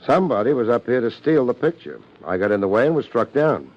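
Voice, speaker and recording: An actor playing a laid-back, wisecracking private detective in a 1950s radio drama, with a breezy, teasing manner. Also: male voice, medium pitch, lively intonation, fast talker, close to an everyday voice. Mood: wry, cautioning. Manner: wry, breezy, teasing banter. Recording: muffled vintage 1950s radio recording.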